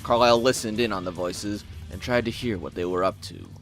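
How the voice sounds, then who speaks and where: A man's voice: on the low side, a little fast, fairly bright tone, soft background music. A man reading scripted story narration in a theatrical, wordy storytelling manner.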